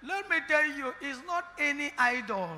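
A man with a Nigerian accent, a prophet preaching into a handheld mic in a large church hall, declaring and shouting in bursts. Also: very high-pitched, animated, normal speed, a little hiss or hum. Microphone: handheld microphone. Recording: long hall reverb, music behind.

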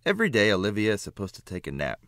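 A man reading a children's picture book aloud with expressive, emphatic phrasing. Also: lowish voice, rapid-fire, no echo, clean studio sound. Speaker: children's book reader, narrator. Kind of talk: read-aloud storybook narration.

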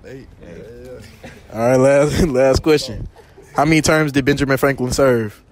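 A young man quizzes students outside on a college campus. He reads each question briskly and sounds upbeat. His voice sits in an ordinary middle range. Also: medium pitch. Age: young adult. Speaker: street quiz interviewer. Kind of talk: casual street quiz.

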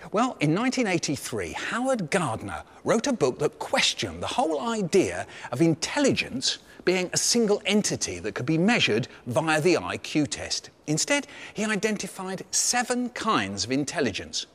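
A man's voice: fairly high pitch, wildly swooping pitch, moderate pace, few pauses, fairly bright tone. A man in his sixties with an English accent hosting a panel discussion in a conversational, questioning way. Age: 60s. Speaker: host.

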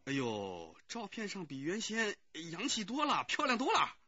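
Husky, effusive committee director. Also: male voice, high voice, huge pitch swings, normal speed, bright, forceful tone, no echo, heavily acted. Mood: excited. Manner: effusive, hesitant, gossipy, full of interjections. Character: chatty, eager-to-help male neighbourhood committee director. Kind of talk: Chinese radio drama acting. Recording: dull, muffled radio drama recording.

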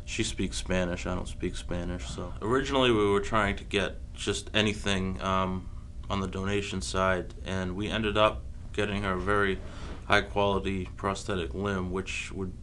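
A young man with a very deep, flat voice explains his part of the project. He talks plainly and matter-of-factly, with a faint rumble behind him. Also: normal speed, hardly any pauses. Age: young adult. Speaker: engineering student. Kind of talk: documentary interview. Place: small indoor room.

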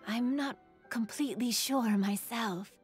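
Slow, gentle, melancholy yokai woman, voiced by an actress. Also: fairly high pitch, soft background music, natural voice. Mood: tender, bittersweet. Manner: wistful, soft-spoken, measured. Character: gentle, melancholy female yokai in human form. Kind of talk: scripted video game voice acting.

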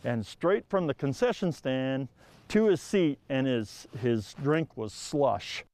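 Chatty middle-aged man, smooth voice. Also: mid-range voice, big pitch swings, takes it slowly, a little mellow, dry recording. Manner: chatty, repeats words as he talks. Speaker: interviewee, sports fan. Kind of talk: casual interview answers. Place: outdoors by a building.

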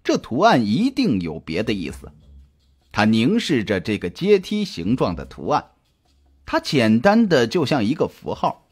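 Husky man narrating suspense, very animated pitch. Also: measured pace. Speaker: audiobook narrator. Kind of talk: dramatic scripted suspense narration. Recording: music under the voice. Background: background music.

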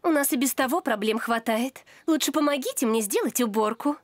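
High-voiced, firm cartoon mother. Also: expressive, a little fast, no room sound, clean studio sound. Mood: firm, weary. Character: weary, firm mother dog. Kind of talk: children's cartoon voice acting. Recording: light music behind the voice.

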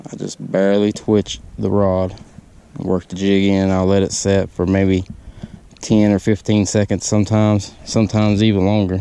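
A man giving casual fishing tips from a lakeshore, talking in halting bursts with lots of "uh" fillers, on a body-mounted camera. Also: sits low, dark tone, smooth. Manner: halting, many "uh" fillers, laid-back. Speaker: fishing vlogger. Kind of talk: casual how-to narration while fishing. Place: outdoors on a lakeshore. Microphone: body-mounted action camera.

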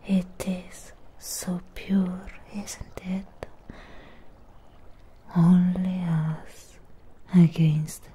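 A very slow, soft and mellow whisper, close to the mic over clear background noise. It is a woman playing a lonely Frankenstein creature girl in an ASMR roleplay, possessive and tenderly in love. She speaks in breathy, halting, intimate phrases. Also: fairly low pitch, little pitch movement. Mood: pleading, possessive. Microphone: close microphone.

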